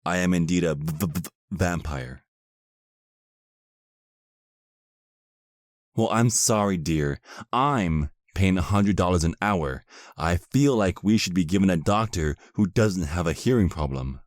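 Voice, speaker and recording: A man's voice: deep voice, lively intonation, moderate pace, no echo, clean studio sound. A man playing an exasperated, sarcastic vampire husband, centuries old but youthful, in a comedic audio roleplay. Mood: mocking. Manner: sarcastic, complaining.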